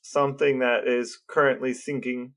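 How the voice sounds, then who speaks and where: A man's voice: medium pitch, little pitch movement, moderate pace, a bit bright. A man co-hosting a casual podcast, trading wry jokes in loose, conversational banter. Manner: wry, joking. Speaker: podcast co-host. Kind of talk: casual banter between brothers.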